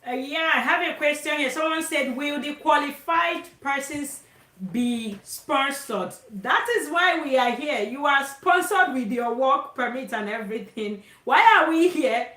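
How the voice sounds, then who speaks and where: Loud, talkative woman hosting a live stream. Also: sits high, roomy sound. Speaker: host.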